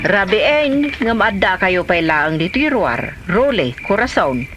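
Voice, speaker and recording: A woman's voice: mid-range voice, sing-song, measured pace, loud music behind the voice. A woman acting a nagging, prickly village woman in an Ilocano comedy drama. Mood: scolding, questioning.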